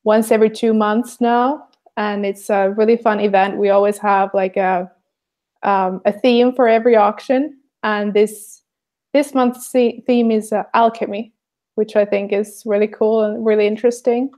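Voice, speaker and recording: Hesitant Finnish-accented woman, mellow. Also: mid-range voice, steady tone, moderate pace. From Finland. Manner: hesitant, many fillers. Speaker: artist, co-host. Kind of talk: casual livestream chat.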